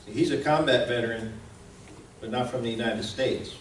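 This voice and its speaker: A man's voice: medium pitch, fairly even intonation, moderate pace. A man giving an informal slide presentation to an audience as the presenter. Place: indoor room at a live presentation. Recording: echoey room, distant mic, faint noise.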